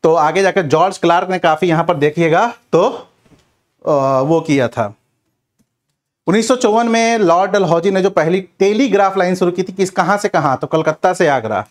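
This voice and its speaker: A man's voice: high-pitched, moderate pace. A man teaching an exam revision class in question-and-answer form, addressing students directly with repeated check-in tags. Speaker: history teacher for competitive exams.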